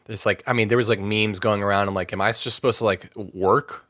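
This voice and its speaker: A man talking casually in an interview, relaxed and halting, often restarting his sentences. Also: fairly low pitch, dry recording, studio quality. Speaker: guest vocalist.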